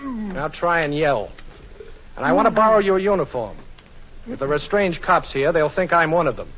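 An actor playing a cool-headed, wisecracking amateur sleuth in a flippant 1940s radio style. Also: male voice, a little high, expressive, normal speed, crowd noise, lightly acted. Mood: brisk, confident. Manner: flippant, sardonic. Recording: dull, noisy 1940s radio recording.

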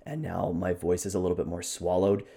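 Throaty, swallowed, deepened character placement, shown by a male performer. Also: level tone, brisk, creaky, warm tone. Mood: demonstrative.

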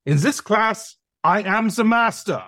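A man's voice: high voice, expressive, measured pace, a bit bright, no echo, studio quality. A man playing a pompous, irritable dog who runs an acting class, with a German accent and a bossy, exasperated manner, in an audio play. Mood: exasperated, angry. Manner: barking commands, pompous, exasperated.

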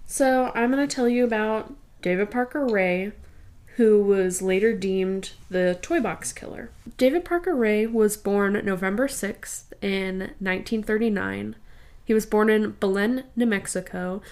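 A young woman podcast co-host in a home garage, telling a true crime story in a relaxed, chatty way. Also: medium pitch. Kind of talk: casual chat and true crime narration.

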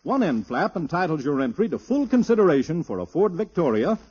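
High-pitched, husky American man announcing. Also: sing-song, fairly quick, a little mellow. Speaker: radio announcer. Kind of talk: 1950s radio advertisement announcing. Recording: dull vintage 1950s radio recording.

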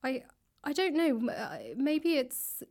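A woman with a southern British accent chatting casually as an interview guest, tentative and pausing mid-thought. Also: high voice, vocal fry, a little mellow. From London, England. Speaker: interview guest, author and actor. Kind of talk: casual chat. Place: indoors, in a library.

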